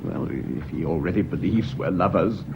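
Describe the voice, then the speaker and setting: An actor playing a tense, defensive, controlled schoolmaster and widower in a British radio drama, speaking in a clipped, formal way with a British accent. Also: male voice, low voice, moderate pace, vocal fry, noisy background. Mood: strained, controlling.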